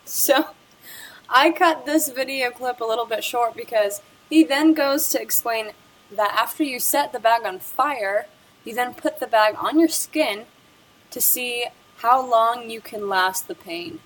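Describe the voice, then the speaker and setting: A woman's voice: a little high, a little slow, fairly bright tone, a little hiss or hum, rough recording. A young woman presenting a school project interview, reading a prepared script about the person she talked with. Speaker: student presenter.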